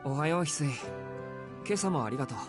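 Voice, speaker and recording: A man playing a mild, considerate high school boy in a Japanese visual novel. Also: expressive, rapid-fire, natural voice. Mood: mild, reassuring. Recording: loud game music behind. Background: game music.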